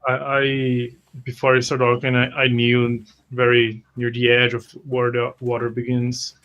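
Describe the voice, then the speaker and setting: A man playing a tabletop roleplay game, describing his character's actions in the first person with deliberate, rather formal wording. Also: medium pitch, completely flat delivery, muffled recording.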